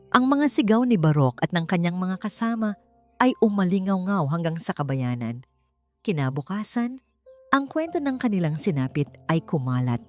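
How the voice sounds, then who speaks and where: A woman with a Filipino accent narrating a dramatic story in a measured, suspenseful way. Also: on the low side, darkish tone. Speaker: audiobook narrator. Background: music.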